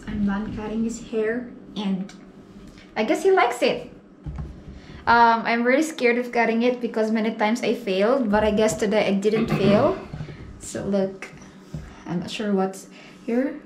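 A woman's voice: a little high, measured pace, long pauses, over music. A young woman from the Philippines, Filipino her first language, chatting playfully and giggling in a casual home vlog. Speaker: vlogger. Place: small home living room and bathroom, indoors. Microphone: handheld camera at arm's length. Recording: noisy home camera audio.

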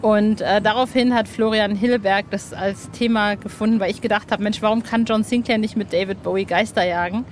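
A woman's voice: medium pitch, steady tone, a little fast, hardly any pauses. A woman hosting a casual, chatty interview with gushing enthusiasm, often correcting herself as she goes. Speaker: podcast host interviewing an author. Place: outdoor roof terrace. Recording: ambient noise from an outdoor terrace.

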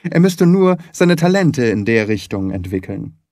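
A man's voice: mid-range voice, sing-song, unhurried, darkish tone, dry recording, studio quality. A man reading scripted literary narration as an audiobook narrator.

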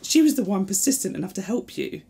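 A man plays an anxious, mouthy young companion in an audio drama. The mellow voice moves around a lot in pitch. Speech is colloquial and full of questions. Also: sing-song, quick pace, gravelly, husky, full character voice. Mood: pleading, urgent. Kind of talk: fan audio drama acting.

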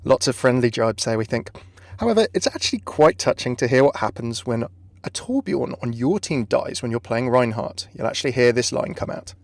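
A man's voice: medium pitch, expressive, moderate pace, creaky, dry recording. A man hosting a video with scripted narration, recorded as a close voice-over. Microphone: close dry voice-over microphone.

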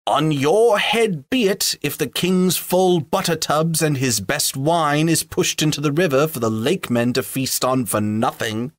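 A man's voice: a little high, unhurried, hardly any pauses, dry recording, studio quality, pitched above the performer's own voice, close to an everyday voice. A man voicing a band of merry young male elves who tease and jeer at the butler. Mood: teasing. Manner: jeering, jovial.

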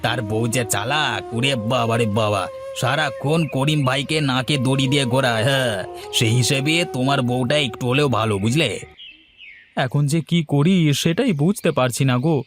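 A man voicing a soft-hearted, food-loving village husband in a cartoon, folksy and exclaiming, with sheepish stammers. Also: medium pitch, moderate pace, over music, lightly acted. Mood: gloomy, complaining. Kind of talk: cartoon voice acting.

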